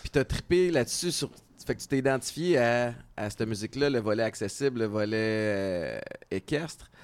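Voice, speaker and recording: A man's voice: medium pitch, animated, moderate pace, clean tone. A man with a Quebec French accent hosting a casual podcast interview, encouraging his guest with short affirmations. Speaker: podcast host.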